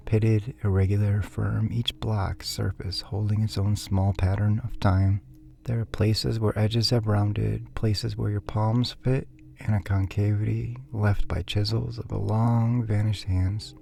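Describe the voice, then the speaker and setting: A man softly narrating a scripted guided sleep meditation in a soothing, measured, breathy way. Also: on the low side. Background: faint background music.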